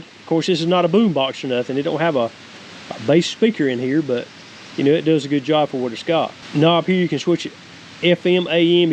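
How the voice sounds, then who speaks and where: A man's voice: fairly high pitch, a little mellow, low-quality recording. A middle-aged man with a Southern American accent giving a casual, folksy, plain-spoken product review. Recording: faint hiss and outdoor noise.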